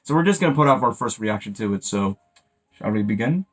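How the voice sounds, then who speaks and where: A young man hosting a casual reaction video in an excitable, chatty manner. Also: on the low side, fairly quick. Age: young adult. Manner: excitable, breaks into mimicked voices and shouts. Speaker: host, amateur voice actor.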